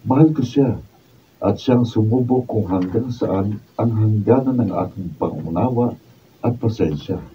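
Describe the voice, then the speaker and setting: A man's voice: lowish voice, unhurried, lightly acted. A man playing a patient, joking young Filipino boyfriend in a radio drama. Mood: reflective. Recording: dull lo-fi, distant mic, faint noise.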